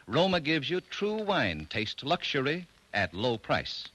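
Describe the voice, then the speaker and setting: Animated male vintage radio announcer. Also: medium pitch, rough recording. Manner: polished, emphatic selling. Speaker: radio announcer and sponsor's pitchman. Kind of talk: 1940s radio commercial announcing.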